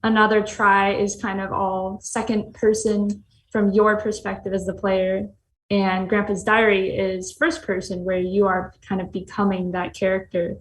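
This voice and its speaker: A woman's voice: mid-range voice, flat delivery, unhurried. Woman interviewer in an echoey room. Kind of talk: casual panel interview questions. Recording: echoey room with faint background noise.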